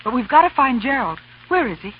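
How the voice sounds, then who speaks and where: A woman's voice: high-pitched, big pitch swings, quick pace. An actress playing an urgent, exasperated young society woman in 1930s radio comedy. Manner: urgent, impatient. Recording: muffled, hissy 1930s radio recording.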